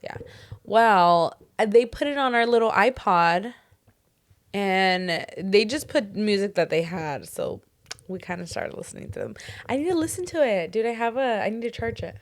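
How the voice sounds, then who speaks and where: Hoarse, chatty young woman. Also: mid-range voice, lively intonation, moderate pace. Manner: chatty, full of "like" and "dude". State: hoarse, voice still recovering. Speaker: podcast co-host. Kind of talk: casual chat.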